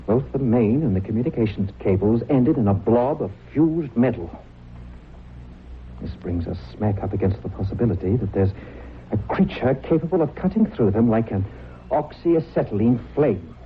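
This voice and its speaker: An actor playing a grave, courteous British navy captain in a vintage radio drama, speaking in a formal, measured, precise way. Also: male voice, lowish voice, lively intonation, lightly acted. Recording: tinny, noisy old radio recording.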